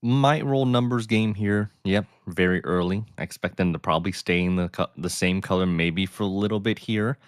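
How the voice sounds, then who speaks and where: A man with a smooth, soothing voice, commenting calmly and analytically on a card game. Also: normal speed, hardly any pauses, no room sound, studio quality. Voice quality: deep, smooth voice. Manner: calm, thoughtful, analytical. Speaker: player and guest commentator.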